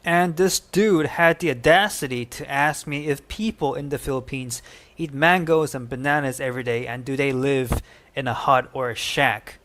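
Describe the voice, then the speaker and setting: A man's voice: medium pitch, normal speed, fairly bright tone. A man telling an indignant, blunt story in a social media rant.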